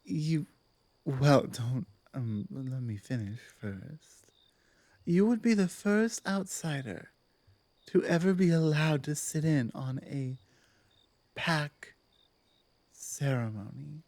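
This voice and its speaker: A woman voicing a dominant but softening werewolf pack leader in an intimate ASMR roleplay, speaking in measured, deliberate phrases with weighty pauses. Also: sits low, dry recording, exaggerated voice. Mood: earnest, explaining. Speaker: audio roleplay performer.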